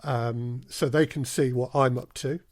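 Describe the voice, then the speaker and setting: A man's voice: medium pitch, steady tone, a little slow, dry recording, studio quality. Man chatting as an interview guest. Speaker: podcast guest, audio drama producer and casting director. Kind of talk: casual interview conversation.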